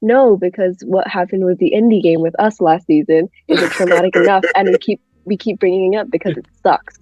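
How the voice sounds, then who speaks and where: A woman's voice: lowish voice, fairly quick, darkish tone, no room sound. A woman guest in casual sports chat, blunt and informal, on dull lo-fi audio. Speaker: guest panelist.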